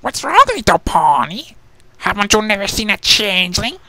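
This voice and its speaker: Snarling, gloating monster dog, pitched up. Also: very animated, normal speed, pushed and bright, no room sound, exaggerated voice. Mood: gloating, menacing. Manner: taunting, menacing. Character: gloating, snarling diamond dog pack brute hungry for ponies.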